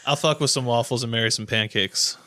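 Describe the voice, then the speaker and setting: Bright-voiced man debating casually, dry sound. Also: mid-range voice, brisk, dry recording. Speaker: podcast co-host. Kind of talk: casual joking podcast banter.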